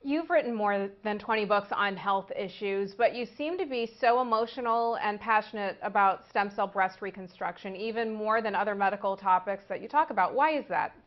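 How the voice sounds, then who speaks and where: Formal woman interviewer, lo-fi. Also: mid-range voice. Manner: measured, formal questioning. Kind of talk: prepared interview questions. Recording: dull lo-fi sound.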